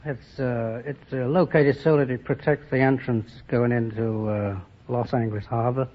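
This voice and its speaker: A man's voice: medium pitch, fairly even intonation, normal speed. A man from North Wales answering as a quiz show contestant, politely and a little hesitantly. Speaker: contestant, lighthouse keeper. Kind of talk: live quiz show interview. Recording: muffled old radio recording, some noise. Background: studio audience.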